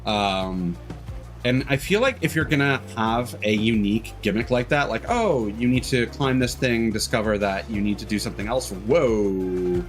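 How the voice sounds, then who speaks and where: Lower-voiced man streaming a video game. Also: moderate pace. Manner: opinionated, rambling and analytical. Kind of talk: casual gameplay commentary. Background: video game music.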